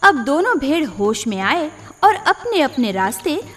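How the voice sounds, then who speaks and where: A woman storyteller reading scripted children's tales in a deliberate, expressive manner. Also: sits high. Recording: long reverb, music behind.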